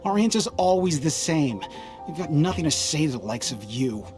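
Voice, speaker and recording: A woman's voice: sits low, sing-song, normal speed, darkish tone, faint music behind. An actress voicing an indignant, ordinary townswoman in a video game, blunt and scolding. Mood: exasperated. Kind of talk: video game character dialogue.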